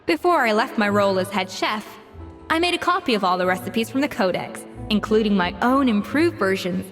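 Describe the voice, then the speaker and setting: A woman's voice: medium pitch, expressive, fairly quick, fairly bright tone, in a large hall, faint music behind, lightly acted. A woman playing a proud, exacting young master chef in a video game, speaking in an emphatic, precise, dismissive manner. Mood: pensive.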